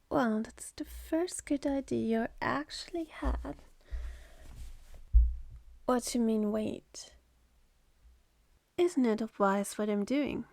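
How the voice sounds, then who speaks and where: Casting: woman playing a jaded demoness for ASMR roleplay, very slow and sardonic, creaky voice slipping into whispers. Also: a little high, warm tone, dry recording, studio quality. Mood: sardonic, teasing. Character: jaded, seductive, scornful female demon born in hell.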